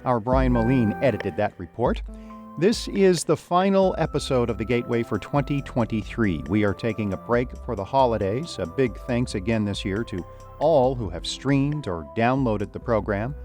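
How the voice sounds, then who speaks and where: A man's voice: medium pitch, lively intonation, normal speed, darkish tone. A man hosting a radio program, reading a scripted sign-off. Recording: music under the voice. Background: music.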